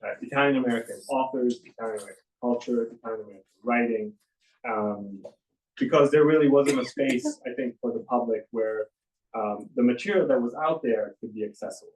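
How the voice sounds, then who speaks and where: Man host, deep voice, sincere and halting, off-mic in an echoey bookstore. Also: far from the mic. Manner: halting, with many fillers, earnest and grateful. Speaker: bookstore owner hosting a reading. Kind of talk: casual event welcome speech. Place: bookstore event room. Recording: echoey room, voice from afar, faint noise.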